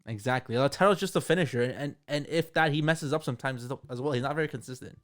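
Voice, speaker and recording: A man's voice: mid-range voice, fast talker, fairly bright tone. A young man co-hosting a football podcast, debating casually in slang and repeating his points for emphasis. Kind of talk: casual sports debate among friends.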